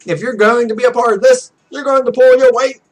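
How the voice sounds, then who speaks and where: A woman's voice: a little high, fairly even intonation, a little fast, pitched above the performer's own voice, natural voice. A man voicing a blunt, demanding young female pro gamer with a curt, commanding manner in a visual novel.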